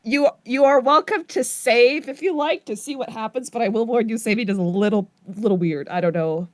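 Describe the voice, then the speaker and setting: Woman game runner chatting, kinda high voice, teasing n amused, very dry sound. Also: a little fast, no room sound. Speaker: co-host running an interactive story game. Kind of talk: casual chat.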